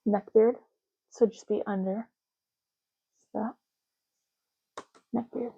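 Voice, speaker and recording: A soft-spoken woman hosting an ASMR roleplay, talking in an unhurried, rambling way as if privately to the listener. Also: medium pitch, steady tone, husky, narrow, tinny audio.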